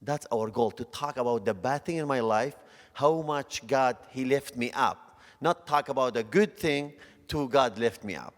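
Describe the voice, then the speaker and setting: A middle-aged man from Northern Iraq with a Kurdish accent and broken English, giving an earnest, halting church testimony. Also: medium pitch. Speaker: guest speaker. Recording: long church hall reverb.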